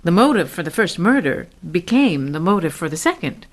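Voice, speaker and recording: A woman playing a brisk, forthright doctor in a period radio mystery, blunt and matter-of-fact. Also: fairly low pitch, big pitch swings. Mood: impatient, probing. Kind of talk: radio drama acting. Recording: clearly noisy old radio recording with clicks.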